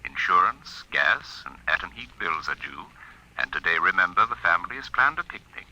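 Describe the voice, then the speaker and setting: Singsong robotic house voice, swooping pitch. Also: male voice, very animated, fairly quick, sharp tone, muffled recording, exaggerated voice. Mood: formal, brisk. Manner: singsong rhymed announcements, precise and formal. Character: automated talking house, chirpy singsong clock and announcer. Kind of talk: radio drama acting.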